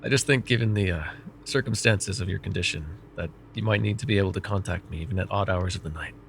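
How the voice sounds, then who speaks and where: A man voicing a vain, wry, middle-aged male mage who counsels a student in an ASMR roleplay, speaking in a calm, measured and gently teasing way. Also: low voice, creaky, slight background noise. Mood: calm reassuring. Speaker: voice actor.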